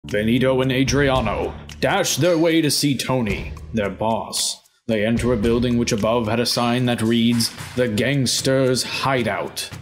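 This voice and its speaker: A man's voice: medium pitch, moderate pace, hardly any pauses, a little hiss or hum. A man reading scripted story narration as a voice actor. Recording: music under the voice.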